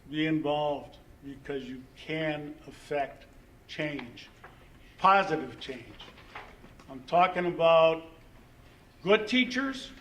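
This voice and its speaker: Emphatic, high-pitched man at a public meeting. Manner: emphatic, colloquial, repeats phrases, asks rhetorical questions. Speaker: resident speaking at public comment. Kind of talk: impassioned public comment at a school board meeting. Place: indoor public meeting room. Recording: noisy meeting room recording.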